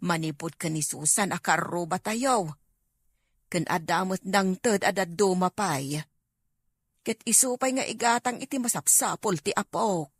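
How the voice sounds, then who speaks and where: A woman with an Ilocano accent playing a caring, grateful older village grandmother in an audio drama, gentle and reassuring. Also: on the low side, lively intonation, unhurried, husky, no echo, studio quality. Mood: affectionate, reassuring.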